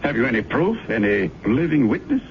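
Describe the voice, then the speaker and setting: An actor playing a pious, persuasive man in an old radio drama, speaking in a soothing, solemn, measured manner. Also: mid-range voice, very animated, lightly acted. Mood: firm, reassuring. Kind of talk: 1970s radio drama acting. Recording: muffled, hissy old radio broadcast recording.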